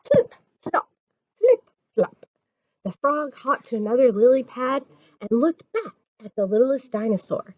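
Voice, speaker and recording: Lady doing library storytime, high swoopy expressive voice, dry but kinda muffled recording. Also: measured pace, pauses often, no echo. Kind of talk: scripted children's story read-aloud.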